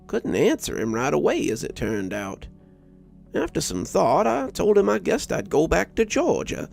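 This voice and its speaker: Guy doing an old grandpa war vet telling a story, super gravelly, folksy, pitch moving around a lot. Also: darkish tone, exaggerated voice. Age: elderly. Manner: folksy, unhurried, reminiscing. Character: folksy old grandfather, war veteran, reminiscing about youth. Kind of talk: first-person storytelling.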